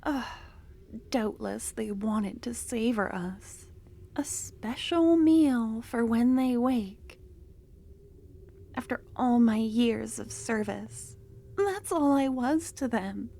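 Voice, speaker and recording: A woman voicing an obsessive, possessive female cultist lover in an ASMR roleplay, talking softly and slipping into whispers, tender yet menacing. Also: a little high, lively intonation, slow pace, gravelly, exaggerated voice. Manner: soft-spoken, drifting into whispers.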